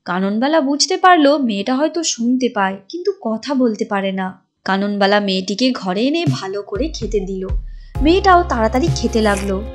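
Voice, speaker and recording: A woman's voice: on the high side, lively intonation, normal speed. A woman reading scripted storybook narration for a cartoon as its narrator. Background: music.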